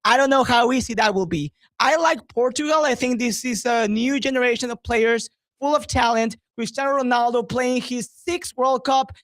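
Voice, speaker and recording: A man's voice: very high voice, moderate pace, hardly any pauses, fairly bright tone, no echo. A man talking up sports as a radio guest, emphatic and driving points home with repetition. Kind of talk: promotional sports talk.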